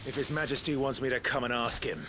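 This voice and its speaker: An actor playing a grim, resolute fantasy warrior hero in a video game cutscene, terse and serious. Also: male voice, mid-range voice, fast, noisy background, natural voice. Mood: grimly determined.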